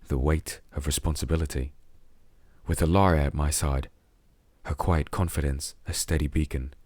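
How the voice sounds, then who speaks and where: An actor playing a weary, protective crusader in a fantasy audio drama, speaking in a measured, brooding way. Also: male voice, low-pitched. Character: disenchanted male crusader, weary, gruff, protective, narrating his tale. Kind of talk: dramatic audio drama acting and first-person narration. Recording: music playing behind.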